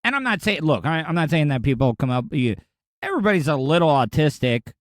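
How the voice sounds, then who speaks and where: Sarcastic ranting Florida podcast host. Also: male voice, a little high, big pitch swings, fast, dry recording, studio quality. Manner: sarcastic, indignant, rambling. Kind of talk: casual ranting commentary.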